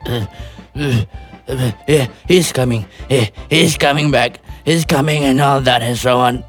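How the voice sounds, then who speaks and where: A man's voice: mid-range voice, measured pace, soft background music, lightly acted. A man playing a blustering, flustered older father in theatrical farce style, stammering and repeating himself in exasperation. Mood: exasperated. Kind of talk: theatrical stage farce acting.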